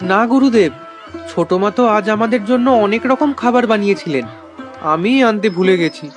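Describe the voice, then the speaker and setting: An actor playing a polite, sheepish schoolboy and big brother in a cartoon, earnest and respectful. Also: male voice, high voice, expressive, brisk, faint music behind. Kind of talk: cartoon acting.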